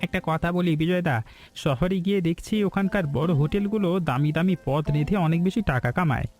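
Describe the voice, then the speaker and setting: A man's voice: fairly high pitch, quick pace, a little mellow, lightly acted. A man playing an eager, ambitious village business partner in a cartoon story, persuasive and respectful. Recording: background music throughout.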